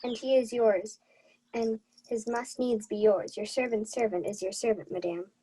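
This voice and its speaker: A woman's voice: fairly high pitch, steady tone, normal speed, mellow, slightly rough, low-quality recording. A woman playing an earnest young servant in disguise, speaking formally and courteously in a Shakespeare radio play. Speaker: student actor.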